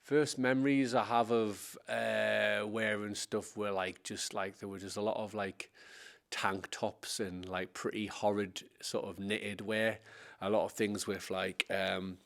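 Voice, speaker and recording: Man, 40s, from Scotland, interview guest, low voice, monotone, rambling with fillers. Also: a little slow, vocal fry. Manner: meandering, hedging with many fillers. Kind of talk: casual reminiscing.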